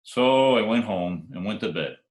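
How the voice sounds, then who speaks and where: A man's voice: lowish voice, a little slow. An actor playing a wry, hard-boiled private detective who narrates his own case in an old-time radio drama. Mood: wry narration. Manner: wry, deadpan wisecracking. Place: at home, recorded remotely. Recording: roomy remote home recording, music behind.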